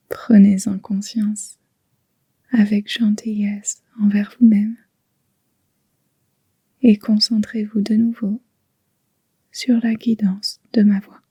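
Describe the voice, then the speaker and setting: A woman guiding a yoga nidra relaxation in a soft, breathy near-whisper, gentle and soothing, with long unhurried pauses. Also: medium pitch, little pitch movement, dry recording. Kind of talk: guided relaxation meditation.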